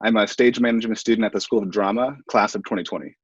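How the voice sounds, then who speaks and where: A young man, a graduate student, giving thoughtful interview answers in a halting way, pausing to pick his words. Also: fairly low pitch, steady tone, slightly rough. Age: young adult. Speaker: stage management graduate student. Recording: muffled, roomy interview sound.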